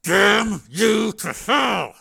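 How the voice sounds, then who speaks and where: A man's voice: high-pitched, lively intonation, drawn-out words, vocal fry, pushed and bright, slightly rough, voice pushed up, full character voice. A man voicing a proud, venomous male crime boss, a father, in a thriller audiobook.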